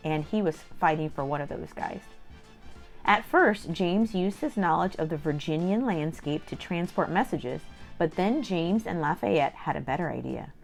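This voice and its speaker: A woman with a slightly low voice reads aloud to young children. She is expressive and exclamatory, talking straight to her listeners as a storytime host. Faint music plays behind her. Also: unhurried, vocal fry.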